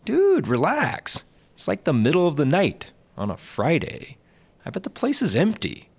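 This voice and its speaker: A man's voice: on the high side, huge pitch swings, measured pace, husky, heavily acted. A man acting an easygoing, food-loving, cheerfully oblivious buddy in a sci-fi comedy audio drama. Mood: relaxed. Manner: casual, unbothered, cheerfully oblivious. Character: laid-back, easygoing, food-loving male squad car buddy.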